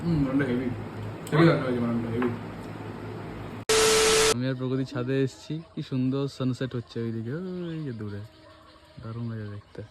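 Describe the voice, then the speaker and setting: Over clear household noise, a man with a West Bengal Bengali accent talks in a mid-pitched voice. He chats casually as a vlogger and often repeats short phrases. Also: steady tone, a little fast, pauses often, roomy sound. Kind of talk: casual home vlog chat. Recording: clearly noisy handheld phone recording.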